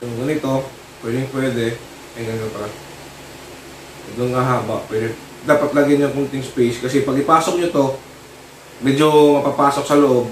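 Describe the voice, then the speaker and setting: A Filipino man giving a casual hands-on tutorial, talking informally in short, halting phrases as he works. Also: medium pitch, fairly even intonation. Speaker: vlogger demonstrating product installation. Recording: roomy, distant mic with clear noise.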